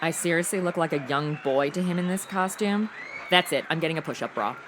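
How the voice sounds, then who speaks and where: A woman's voice: low voice, brisk, noisy background, lightly acted. A woman playing a sassy, irreverent modern young woman in a comedic audio drama, slangy and full of wry delivery.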